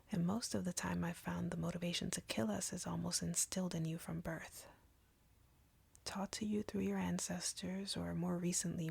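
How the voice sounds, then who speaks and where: Woman voicing a breathy, whispering, arrogant vampire woman. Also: fairly low pitch, a little mellow, no echo, heavily acted. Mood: mocking, probing. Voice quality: breathy, husky near-whisper with creak. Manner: slow, taunting, condescending, teasing. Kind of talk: scripted roleplay audio, intimate ASMR-like delivery. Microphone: close, dry microphone.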